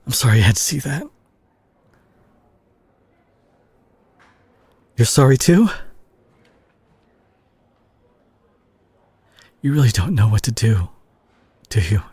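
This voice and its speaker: A man playing a protective, guilt-ridden brawler superhero in an intimate audio drama, speaking earnestly with a husky, breathy voice. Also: medium pitch, very animated, darkish tone, dry recording. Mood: subdued, firm. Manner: earnest, emotional, halting delivery with sighs. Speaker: audio roleplay voice actor.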